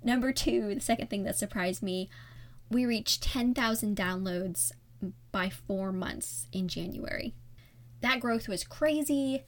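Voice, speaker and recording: A woman's voice: a little high, measured pace. A woman hosts her own podcast alone at the mic, with a soothing voice that listeners often praise. She talks in a candid, reflective, conversational way, as if chatting with a friend. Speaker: podcast host. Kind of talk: solo podcast talk.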